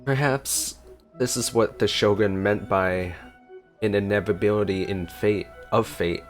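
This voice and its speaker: A gameplay streamer with a low voice, chatting and reacting casually. They read along with the game aloud, with faint music behind. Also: a little slow.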